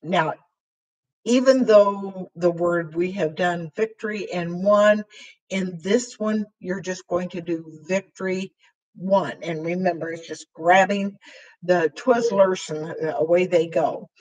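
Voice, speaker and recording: Older woman, sign language teacher; hoarse, breathy voice, unhurried, halting delivery. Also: lowish voice, darkish tone, rough recording. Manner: slow, halting, with frequent fillers while signing. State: voice weakened and hoarse after throat intubation. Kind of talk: slow explanatory sign language lesson. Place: small room with dark curtain backdrop, indoors.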